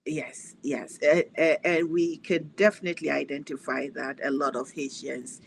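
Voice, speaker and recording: A woman's voice: deep voice, fairly even intonation, normal speed, vocal fry, a little hiss or hum. A woman from Ghana hosting a podcast interview, reflective and affirming, often answering with "yes". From West Africa, Ghana. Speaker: podcast host.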